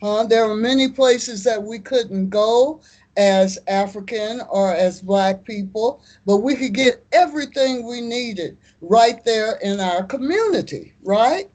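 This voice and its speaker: Older American woman in her seventies, interview guest; measured, emphatic storyteller, slightly high voice. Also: animated, a little mellow. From United States. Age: 70s. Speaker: artist and community activist. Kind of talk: interview answers.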